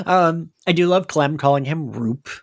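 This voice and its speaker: Animated, giggly podcast co-host. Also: mid-range voice. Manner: giggly, animated, quotes characters. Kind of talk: casual episode recap chat.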